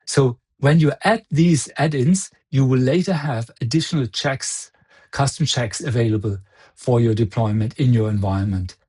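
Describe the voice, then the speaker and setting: A man with a husky, slightly rough voice at an average male pitch. He presents a software product in a live demo style, walking the listener through it step by step in an explanatory way. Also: moderate pace.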